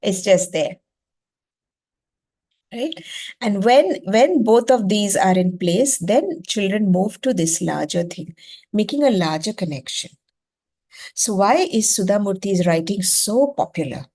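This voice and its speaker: An Indian-accented woman, a native Gujarati speaker, teaches in an explanatory style. She speaks at a middle pitch for a woman. She leans on repeated phrases for emphasis and often checks in with a quick rhetorical question. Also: animated, dark tone. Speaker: educator leading a masterclass on reading.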